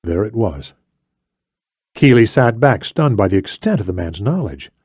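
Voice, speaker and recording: Animated male thriller audiobook narrator, dry sound. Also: fairly low pitch, moderate pace, breathy edge, no echo. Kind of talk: dramatic scripted narration of a thriller.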